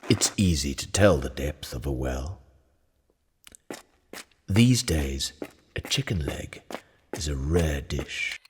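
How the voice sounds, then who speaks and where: A man's voice: rumbling low, animated, slow, long pauses. A man reading short scripted test sentences aloud, one at a time. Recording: long reverb tail, noisy, clicks.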